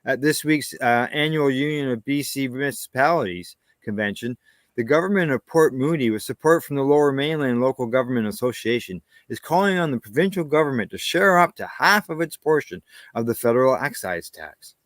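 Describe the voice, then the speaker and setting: A man's voice: medium pitch, a little fast, no room sound. A man from Canada co-hosting a news show, reading stories steadily and chatting casually. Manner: plain, steady reading with short agreeing asides. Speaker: co-host reading cannabis news.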